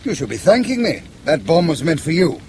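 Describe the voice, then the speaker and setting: An actor playing a suave, ruthless British intelligence chief in a radio drama, urbane and dryly ironic. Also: male voice, on the high side, very animated, brisk, noisy background. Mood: smug, urbane.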